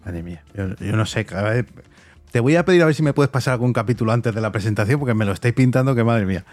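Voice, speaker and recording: A man's voice: mid-range voice, animated, fast, a little mellow, no echo, faint music behind. A man with a Castilian Spanish accent hosting a casual interview, effusive and enthusiastic. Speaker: podcast host, interviewer.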